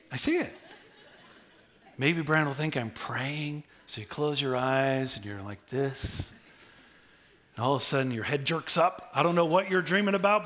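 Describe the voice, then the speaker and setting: A middle-aged male pastor giving a sermon, conversational and humorous, swinging from quiet asides to loud bursts. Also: medium pitch. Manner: humorous, conversational preaching with big swings in loudness.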